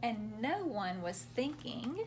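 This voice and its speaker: A woman's voice: a little high, sing-song, takes it slowly, noisy background. A woman hosting a children's storytime, reading picture books aloud expressively and explaining things simply for young listeners.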